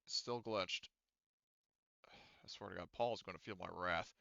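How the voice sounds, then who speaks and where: Man, game master, casual and profane, crisp forceful voice, mutters to himself, slightly dull close recording. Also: low voice, unhurried, no echo. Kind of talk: running a tabletop roleplaying game online.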